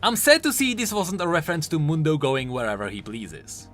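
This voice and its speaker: A man's voice: a little high, expressive, a little fast, a bit bright. A man hosting a video, giving scripted commentary on a game character in an explainer style. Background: music.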